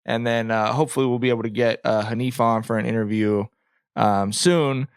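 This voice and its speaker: A man's voice: mid-range voice, fairly even intonation, fairly quick, smooth, no room sound, clean studio sound. A man hosting a podcast in loose, informal chat with some slang. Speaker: podcast host. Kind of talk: casual chat.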